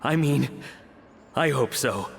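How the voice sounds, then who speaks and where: A man's voice: mid-range voice, expressive, slow pace, gravelly, in a large hall, slight background noise. A man playing an earnest, self-doubting father and former chef in a video game, speaking hesitantly. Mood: hesitant resolve.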